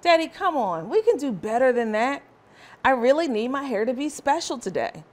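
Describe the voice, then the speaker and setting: A woman voicing a proud, excitable little girl in a children's storybook, with expressive delivery. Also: high voice, moderate pace, pitched above the performer's own voice. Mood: pleading. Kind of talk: children's storybook read-aloud.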